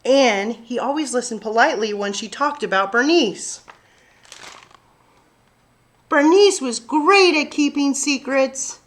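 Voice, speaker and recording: A woman reading a picture book aloud to children, narrating expressively with deliberate, dramatic phrasing. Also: high-pitched. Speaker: children's story-time reader.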